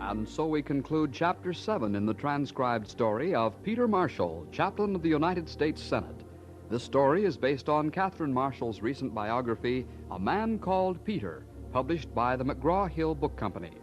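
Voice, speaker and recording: Lively male vintage radio announcer. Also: mid-range voice, normal speed. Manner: formal, polished. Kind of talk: scripted radio narration. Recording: dull old radio recording. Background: music.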